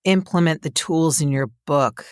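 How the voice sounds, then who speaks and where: A woman's voice: low voice, moderate pace, no room sound, studio quality. Older woman host on a headset. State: a little tired. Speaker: podcast host. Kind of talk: interview questions.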